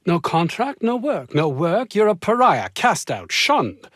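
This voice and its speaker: A man's voice: sits high, big pitch swings, measured pace, a bit bright, no echo, clean studio sound, heavily acted. An actor playing a pompous, anxious, self-important male research scientist in a video game, speaking in wordy, formal phrases with flustered hesitations. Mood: indignant, anxious. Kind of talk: video game character dialogue.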